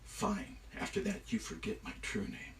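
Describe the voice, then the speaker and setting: A man telling a myth aloud, voicing an excitable, protesting trickster god. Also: mid-range voice, normal speed, recorded from a distance, a little hiss or hum. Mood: grudging. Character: mischievous male trickster god, panicky, indignant, grudging. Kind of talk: live oral myth storytelling.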